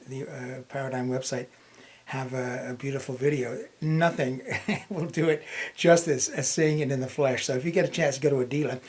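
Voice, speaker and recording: A man's voice: a little high, darkish tone. A man hosting a casual product review, talking informally as he introduces and asks questions. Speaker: reviewer and host.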